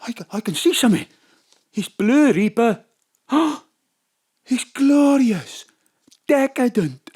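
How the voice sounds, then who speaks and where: Slow, dreamy tripping pub companion. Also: male voice, very high voice, sing-song, a little mellow, slightly rough, exaggerated voice. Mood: dreamy, awestruck. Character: John, dreamy tripping male drinking companion. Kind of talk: comic audio drama dialogue.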